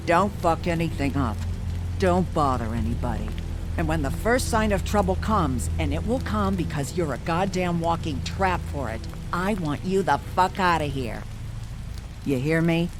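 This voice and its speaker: A woman playing a bitter, hot-tempered grieving sister in a dark video game, confrontational and scornful. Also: sits low, expressive, normal speed. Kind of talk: dramatic video game acting. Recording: music and rain behind the voice.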